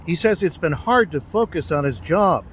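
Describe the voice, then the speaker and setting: A man's voice: on the high side, normal speed, background noise. Male radio field reporter. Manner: scripted, even reporting. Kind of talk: news report.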